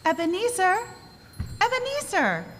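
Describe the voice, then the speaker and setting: Woman to play affectionate girl for radio drama: high, lively, eager little-sister voice. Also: unhurried, slight background noise, exaggerated voice. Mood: joyful. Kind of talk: 1940s radio play acting. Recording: reverberant hall.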